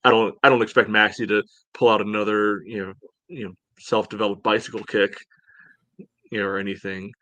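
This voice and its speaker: A man co-hosting a sports podcast, giving casual analysis in a halting way full of verbal padding. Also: lowish voice, flat delivery, pushed and bright, no echo, lo-fi sound. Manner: halting, peppered with "you know". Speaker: podcast co-host.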